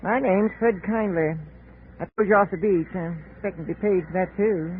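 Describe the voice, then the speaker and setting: An actor playing a crusty, grasping old islander in a 1940s radio drama, with speech and folksy, hesitant haggling. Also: male voice, sits high, brisk. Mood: crusty, grudging. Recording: tinny, hissy 1940s radio recording.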